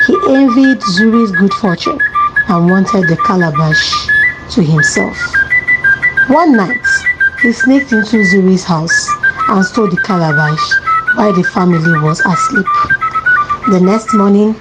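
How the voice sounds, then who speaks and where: Highly animated female storyteller over music. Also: unhurried, low-quality recording. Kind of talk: scripted folktale narration for children.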